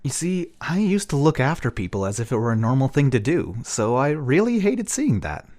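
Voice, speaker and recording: Manga dub role: male actor as gentle, earnest eldest-brother type, mid-pitched with lively, swinging intonation, reassuring and confiding. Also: moderate pace, lightly acted. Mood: wistful, confiding. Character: gentle, dutiful young man, a worrywart eldest brother. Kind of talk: anime-style manga dub acting.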